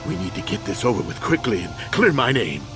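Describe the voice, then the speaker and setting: A man's voice: fairly low pitch, very animated, a little fast, music in the background. An actor playing a crippled old war veteran in a video game, emphatic and protesting his innocence. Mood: indignant. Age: older.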